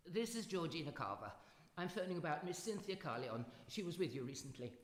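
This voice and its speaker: An actor playing a brisk, bossy, proper older lady with emphatic delivery in a live radio drama. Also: mid-range voice, very animated, natural voice. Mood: anxious. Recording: echoey hall, faint noise, live stage.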